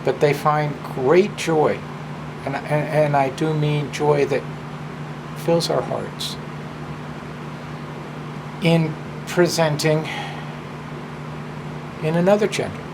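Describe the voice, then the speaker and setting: Slow-talking, gravelly man. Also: a little high. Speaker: presenter and drag performer. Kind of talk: informal personal talk and story hour introduction. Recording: clear steady hum of background noise.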